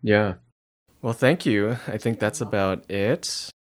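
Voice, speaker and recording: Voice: medium pitch, slow pace. A podcast host interviewing a guest, giving short encouraging acknowledgements and then closing the episode. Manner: brief encouraging backchannels.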